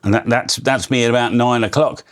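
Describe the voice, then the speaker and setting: A man giving a casual hands-on radio tutorial, a loud, energetic talker who explains in a chatty way with frequent restarts. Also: mid-range voice, fairly quick. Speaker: radio channel host.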